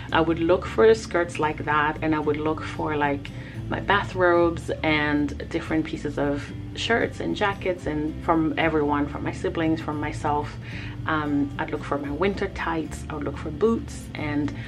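A woman's voice: low-pitched, rough recording. A woman whose first language is Lingala, from the Congo, chatting casually and reminiscing as she tells a story. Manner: chatty and reminiscing, with frequent laughs and fillers. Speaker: artist vlogger. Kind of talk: casual storytelling over a timelapse. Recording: music playing under the voice.

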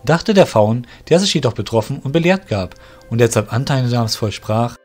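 A man narrating an audio drama in a steady, measured way. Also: mid-range voice, faint music behind.

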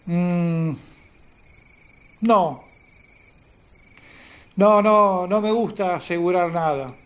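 A man's voice: high-pitched, drawn-out words, a bit bright, smooth, full character voice. An actor playing a nervous, distrustful, self-important wealthy man in a radio drama, hesitant and defensive. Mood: guarded, proud.

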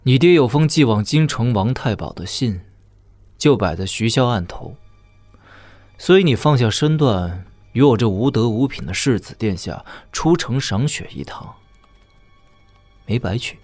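Cocky, flippant young lordling. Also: male voice, medium pitch, expressive, measured pace, darkish tone, no room sound, soft background music. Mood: smug. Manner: flippant, teasing. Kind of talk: Chinese wuxia audio drama acting.

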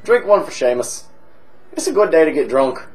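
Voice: sing-song, moderate pace, soft background music, natural voice. A performer playing a crude, cheerful Irish drinker with a put-on Irish accent, swaggering through a comic monologue. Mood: toasting. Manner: crude, swaggering, jumps between high squeals and low mutters. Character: rowdy boozy Irish man, crude and cheerful.

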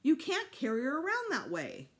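A woman's voice: high-pitched, huge pitch swings, moderate pace, a bit bright, voice pushed up, exaggerated voice. Woman playing a dramatic, anxious mother. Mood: angry, scolding. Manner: emphatic, dramatic. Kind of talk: children's audiobook character voice.

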